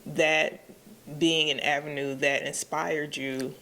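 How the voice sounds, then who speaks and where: A woman hosting a casual interview, upbeat and slangy, with quick prompts. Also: deep voice, flat delivery, bright, forceful tone. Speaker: interview host.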